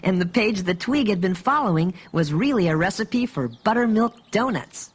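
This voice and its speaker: A man voicing a kindly young toy bear who tells children's stories in a gentle, patient manner. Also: high voice, lively intonation, normal speed, slightly rough. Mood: kindly, reflective. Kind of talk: children's storytelling.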